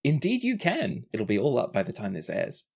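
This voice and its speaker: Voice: mid-range voice, huge pitch swings, normal speed, gravelly, a little mellow, slightly rough. A game master and host narrating a tabletop roleplaying podcast in a descriptive way, sometimes self-correcting.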